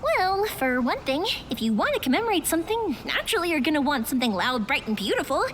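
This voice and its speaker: A woman voicing a cheerful, talkative, warm-hearted young fireworks maker in a video game. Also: sits high, very animated, quick pace, a little hiss or hum. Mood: upbeat, earnest. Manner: talkative, breezy, earnest.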